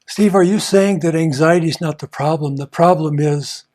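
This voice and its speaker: Guy with a highish voice doing self-help teaching, earnest, repeats himself, mic kinda far away. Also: normal speed, a little mellow. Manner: earnest, repeats phrases for emphasis. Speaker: host, therapist teaching a class and interviewing.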